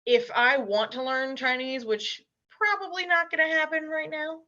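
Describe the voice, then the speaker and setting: Bright-voiced young woman, blunt and emphatic. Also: sits high, fairly even intonation, dull audio. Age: young adult. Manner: blunt, emphatic, quick asides. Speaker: interview guest, language learner. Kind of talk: casual interview chat.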